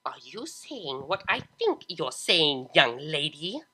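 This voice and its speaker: A woman's voice: low-pitched, big pitch swings, slow, bright, forceful tone, slightly rough, exaggerated voice. A woman voicing a domineering older pony mare, a stern mother with a scornful, condescending manner. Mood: menacing.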